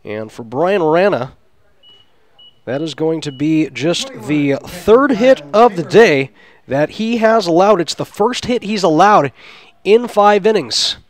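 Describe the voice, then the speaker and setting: A man's voice: high voice, animated, slow pace, no echo. A man calling a baseball game live on radio as play-by-play announcer, with descriptive, flowery phrasing. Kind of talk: live sports radio commentary.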